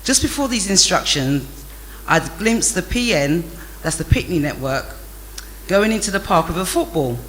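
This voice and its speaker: High-voiced man telling stories. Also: big pitch swings, moderate pace, a bit bright, slight background noise, low-quality recording. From England. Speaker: storyteller reading a memoir. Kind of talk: narrated childhood memoir.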